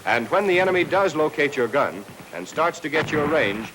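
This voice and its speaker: An American man narrating a 1940s military training film, reading a scripted lesson and addressing trainees directly with clear instructions. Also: fairly high pitch, very animated, normal speed, fairly bright tone, slight background noise, rough recording.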